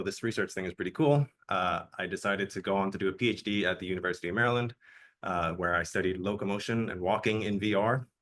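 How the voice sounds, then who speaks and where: A young man from Jamaica giving a relaxed, conversational academic seminar talk as a guest researcher. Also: fairly low pitch. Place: lecture room.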